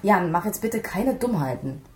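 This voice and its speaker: An actress playing a sensible, sceptical police colleague in a German radio crime drama, with a questioning, conversational way of talking. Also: female voice, mid-range voice, animated, moderate pace, very noisy, natural voice. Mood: sceptical, concerned.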